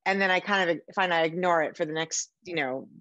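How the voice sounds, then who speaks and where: A woman's voice: on the low side, brisk, fairly bright tone, no echo. A middle-aged American woman chatting casually as an interview host on a video call. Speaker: podcast host and author. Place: indoor room at home, video call. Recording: slightly dull video-call audio.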